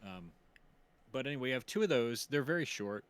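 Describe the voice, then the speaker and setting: A man's voice: mid-range voice, animated, brisk, clean tone. A man co-hosting a live stream, explaining things casually and a bit hesitantly. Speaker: stream co-host and performer.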